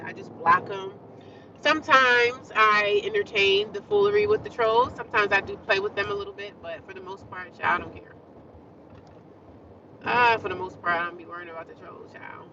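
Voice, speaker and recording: A woman's voice: medium pitch, fairly even intonation, fairly quick, recorded from a distance, dull audio, background noise. A woman chatting casually to her viewers from inside a car, talking with a congested nose. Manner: chatty, talks straight to viewers. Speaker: vlogger. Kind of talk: casual rambling chat to viewers.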